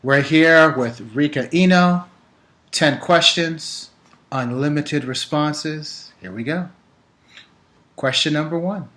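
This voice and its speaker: A man hosting an interview, asking numbered questions and reacting with enthusiastic, expressive exclamations. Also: fairly high pitch, slow. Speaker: interview host.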